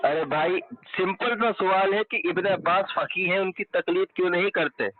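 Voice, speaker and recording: Male debater, fairly high voice, pressing and repetitive in religious argument, recorded off a dull phone line. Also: normal speed, noisy background. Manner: insistent, repeats pointed questions. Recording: muffled, band-limited telephone call recording.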